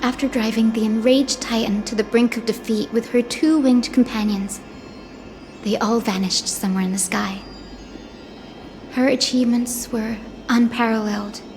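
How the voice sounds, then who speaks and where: A woman's voice: on the high side, fairly even intonation, moderate pace, close to an everyday voice. A woman voicing an earnest, hopeful young descendant of sky folk in a video game cutscene, with a solemn, poetic storytelling manner. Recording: background music under the voice.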